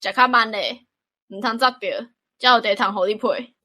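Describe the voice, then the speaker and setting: A woman's voice: a little high, moderate pace, bright, forceful tone. A woman acting a kind, cheerful young country lad in a radio drama, with a Taiwanese accent and an eager, caring manner. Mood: concerned.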